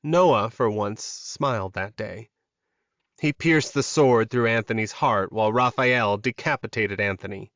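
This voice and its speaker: A man's voice: on the low side, measured pace, a bit bright, no room sound, muffled recording. A man reading scripted fantasy fiction as a dramatic audiobook narrator.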